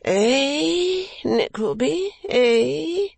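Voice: sits high, expressive, extremely slow, gravelly, no echo, pitched above the performer's own voice, heavily acted. A narrator voicing a foolish, eager young English lord in a storybook, with an affected, wheedling drawl. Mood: impatient, wheedling. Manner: drawling, affected, hushed and pleading.

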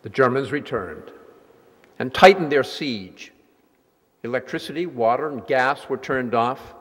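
A man's voice: mid-range voice, lively intonation, unhurried, fairly bright tone, slightly rough. A man performing as the grave narrator of a wartime dramatic reading. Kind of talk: solemn scripted narration. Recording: long hall reverberation.

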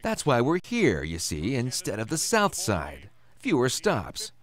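An actor playing a menacing robber in a radio drama, taunting and sarcastic with a false friendliness. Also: male voice, mid-range voice, huge pitch swings, unhurried. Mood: smug. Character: menacing, mockingly friendly male robber posing as FBI agent.

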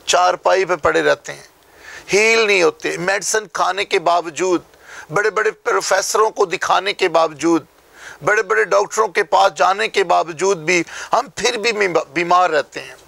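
A man's voice: on the high side, vocal fry, fairly bright tone, no room sound. A middle-aged man with a Pakistani accent, a pastor speaking emphatically and repeating phrases as in a sermon, recorded on a lapel mic in a studio. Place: small TV studio.

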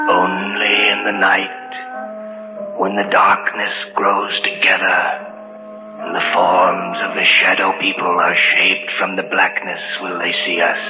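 Slow, deep, menacing shadow creature. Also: male voice. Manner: slow, taunting, hissing half-whisper. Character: menacing male shadow creature taunting its doomed prey. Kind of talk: 1950s radio horror acting. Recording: muffled old radio recording, music behind.

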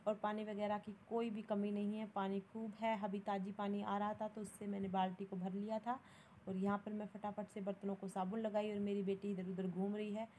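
Even-toned young woman. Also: medium pitch, fairly quick, a little hiss or hum, rough recording. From Haryana, India. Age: young adult. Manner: plain, matter-of-fact, mixing in English words. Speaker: vlogger. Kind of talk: casual voice-over narration of daily routine.

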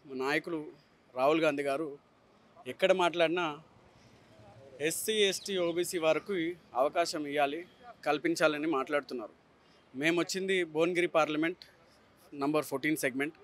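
Slightly high-voiced Telangana man, political hopeful. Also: fairly bright tone. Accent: Telangana Telugu. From Telangana, India. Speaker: politician seeking election ticket. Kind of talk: news interview answers mixing in English. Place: outdoors on a city roadside. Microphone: handheld reporter's microphone.

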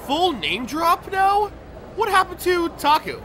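A man's voice: very high voice, big pitch swings, takes it slowly, pushed and bright, slight background noise, exaggerated voice. A man playing a cheeky, good-natured young professor in an audio drama, playful and bantering. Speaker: voice actor. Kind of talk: audio drama acting with first-person narration.

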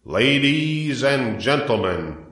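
Storybook loudspeaker announcer, played by a man: pompous, formal, booming, full of self-importance. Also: sing-song, slow pace, roomy sound. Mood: grand, proud. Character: pompous fairground loudspeaker announcer making grand proclamations.

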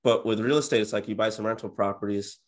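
Young male guest, 20s, deep-leaning voice, unexcitable and introverted, relaxed conversational interview delivery from a home office. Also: fast talker. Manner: low-key, introverted, self-described monotone. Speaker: interview guest, real estate agent and YouTuber. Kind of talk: casual interview chat. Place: indoor home office, on a video call.

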